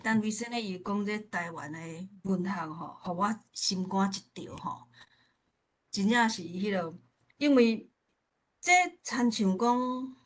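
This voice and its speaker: A woman's voice: medium pitch, a little slow. Southern Taiwanese woman, casual, mid-range voice. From Pingtung, southern Taiwan. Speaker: forum discussion participant. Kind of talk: casual personal reminiscence.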